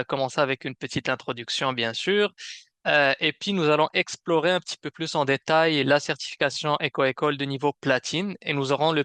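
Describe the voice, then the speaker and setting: A man hosting a webinar with a semi-scripted presentation, explaining in a measured way with some hesitation. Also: a little high, pushed and bright, clear, resonant tone, dry recording. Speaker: webinar host and program manager.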